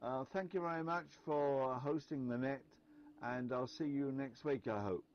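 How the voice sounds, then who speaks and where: A man's voice: medium pitch, normal speed, a little hiss or hum. Hesitant man over dull radio audio. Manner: hesitant, many fillers and restarts. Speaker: amateur radio operator. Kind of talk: casual on-air chat.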